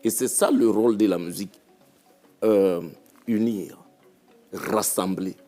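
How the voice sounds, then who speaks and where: A man's voice: lowish voice, fairly even intonation, slow, warm tone, soft background music. A man from Cameroon, a musician guest on a panel talk show, speaking reflectively and repeating his phrases.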